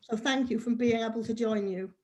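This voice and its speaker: A Scottish-born woman with an English accent, chatting warmly with many ums on a video call. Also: fairly high pitch, fairly quick, rough recording. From Scotland. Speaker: guest. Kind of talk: casual chat.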